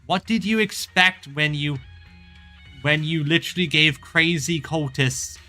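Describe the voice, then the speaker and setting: Man, very bright sharp voice, casual game commentary, wry asides, faint music behind. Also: a little high, unhurried. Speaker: game streamer.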